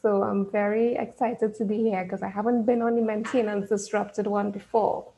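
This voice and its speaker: A cheerful woman with a Trinidadian accent, chatting as a podcast guest. She laughs easily and talks in a warm, easy conversational way. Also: medium pitch, little pitch movement, moderate pace, poor audio. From Trinidad and Tobago. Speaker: podcast guest, business owner. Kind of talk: casual interview chat.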